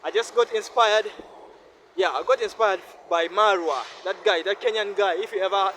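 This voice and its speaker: A man's voice: high voice, moderate pace, fairly bright tone, rough recording. A man from Uganda whose first language is Luganda, talking in an earnest, defiant and repetitive vlog monologue while filming on a city street. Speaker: vlogger. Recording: street noise behind.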